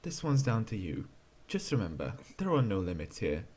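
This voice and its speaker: A man playing a gentle, encouraging TV painter in a soft, calm, folksy way. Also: fairly low pitch, moderate pace, slightly rough. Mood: soft, reassuring.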